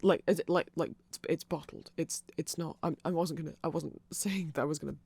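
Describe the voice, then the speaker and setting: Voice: mid-range voice, fairly even intonation, brisk, vocal fry, a little mellow, slightly rough, no room sound. A performer playing a nerdy, polite vampire shopkeeper in an ASMR roleplay, hesitant and stammering, rambling apologetically in casual chat. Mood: awkward, hesitant.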